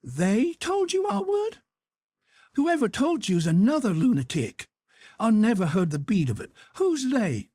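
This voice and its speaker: A man reading a story aloud, voicing a feisty middle-aged aunt who scolds in a shrill voice. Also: big pitch swings, normal speed, warm tone, dry recording, clean studio sound. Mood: scolding.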